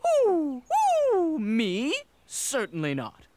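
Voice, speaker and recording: A man's voice: very high voice, huge pitch swings, drawn-out words, heavy background noise, heavily acted. A man voicing a stately, indignant owl in a children's cartoon, with a formal, dignified manner.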